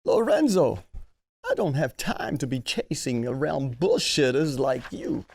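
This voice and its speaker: A performer at a script table read playing a menacing, impatient mob boss with a husky, gravelly voice. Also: mid-range voice, big pitch swings, unhurried, a little mellow. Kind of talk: table reading of a comedy script.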